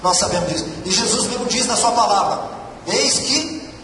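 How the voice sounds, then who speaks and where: Man, preaching, fairly high voice, heard from a distance, booming hall echo, background noise. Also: expressive, moderate pace. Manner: earnest, exhorting, repeats key phrases. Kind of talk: sermon. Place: large church hall. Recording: echoey church hall from far off, noisy.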